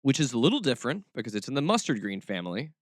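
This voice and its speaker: A man's voice: mid-range voice, big pitch swings, very fast, no room sound, studio quality. A man hosting a talk show, giving casual commentary peppered with wry, sarcastic asides. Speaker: podcast host.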